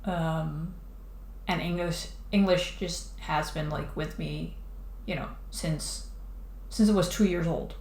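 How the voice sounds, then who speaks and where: A woman's voice: sits low, fairly even intonation, slow pace, creaky, darkish tone. A woman streaming live while drawing a comic, chatting casually and rambling, with many fillers and restarts. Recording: echoey room, faint background noise.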